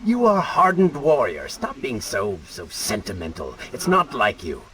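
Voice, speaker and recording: A man playing a sardonic dark alter ego spirit in a video game, mocking and theatrical as he goads a warrior. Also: on the low side, huge pitch swings, moderate pace. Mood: goading. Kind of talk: dramatic video game voice acting.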